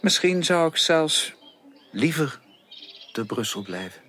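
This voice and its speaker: An actor playing an earnest, caring and direct gentleman suitor in a radio drama, speaking formally and emphatically with probing, insistent questions. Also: fairly high pitch, very animated, slow, heavily acted. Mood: ardent, determined. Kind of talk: 1980s Dutch radio drama acting.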